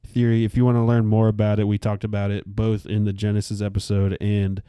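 A man in his late twenties co-hosting a casual Bible podcast, relaxed and slangy, explaining facts with many pauses. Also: lowish voice, clear, resonant tone, dry recording, studio quality. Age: 20s. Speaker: podcast co-host.

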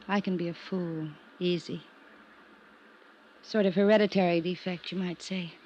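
A woman's voice: fairly low pitch, fairly even intonation, normal speed, darkish tone, close to an everyday voice. Actress as a wistful, guarded woman, muffled old radio. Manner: wistful, dramatic. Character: lonely, wistful, guarded young woman under suspicion. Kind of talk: 1950s radio drama acting. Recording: noisy, muffled 1950s radio recording.

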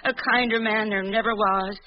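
An actress playing a folksy, expressive old mother who is secretly a sly crook, in a 1940s radio drama. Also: medium pitch, normal speed, natural voice. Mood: folksy, sweet. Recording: muffled 1940s radio recording.

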